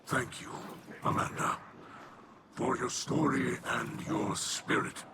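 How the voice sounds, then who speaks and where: An actor voicing a dignified, weary old alien war captain in a video game, with a breathy rasp and formal, measured speech. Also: male voice, medium pitch, fairly even intonation, heavy background noise, lightly acted. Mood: gracious, solemn. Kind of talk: dramatic video game character acting.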